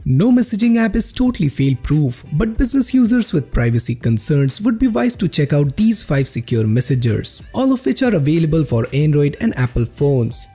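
Higher-voiced man in lively scripted narration. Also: moderate pace, few pauses, slightly rough. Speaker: host of a tech review channel. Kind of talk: scripted narration of an app roundup video. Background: background music.

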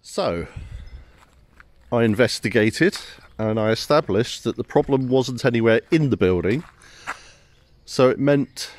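A middle-aged English man, a chateau owner, telling a wry, understated story to camera while seated in a sunny garden courtyard. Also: mid-range voice. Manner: wry, understated, chuckling at his own mishaps.

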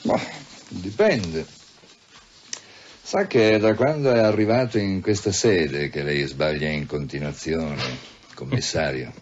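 Deep-voiced, condescending police chief. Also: male voice, slight background noise. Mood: sardonic. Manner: mock-polite, pompous, deliberate phrasing. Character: smooth-talking, condescending, veiledly menacing senior male police official. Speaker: actor. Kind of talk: Italian radio drama acting. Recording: dull, muffled radio drama recording.

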